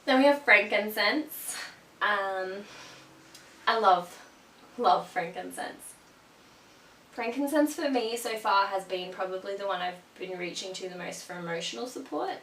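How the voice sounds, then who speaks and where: A woman's voice: mid-range voice, a little slow, pauses often, fairly bright tone. A young woman with a cold, a little sniffly, chatting casually to her viewers with lots of ums. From Australia. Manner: chatty, gushing, many ums. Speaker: vlogger. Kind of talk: casual product chat to camera. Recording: roomy, from a distance, faint hum.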